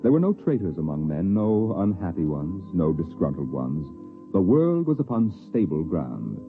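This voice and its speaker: An actor playing the solemn, portentous narrator of a 1950s radio science fiction drama, with dramatic, deliberate phrasing. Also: male voice, sits low, big pitch swings. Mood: lyrical, calm. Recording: tinny vintage 1950s radio recording. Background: music.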